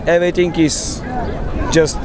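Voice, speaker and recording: A man talking in a relaxed, upbeat way with casual slang. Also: a little high, measured pace. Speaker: tourist interviewee. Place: busy city street outdoors. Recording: crowd and street noise.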